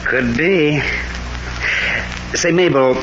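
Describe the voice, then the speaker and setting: An actor playing a wisecracking newspaper reporter with a dry, breezy manner, in a 1940s radio drama. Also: male voice, fairly high pitch, very slow, a bit bright, highs cut off. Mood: wry. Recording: noisy old radio broadcast.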